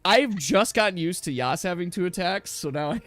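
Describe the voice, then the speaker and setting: A man's voice: high voice, expressive, normal speed, fairly bright tone, no room sound. A man acting as game master, casually narrating and giving rulings in a tabletop role-playing game.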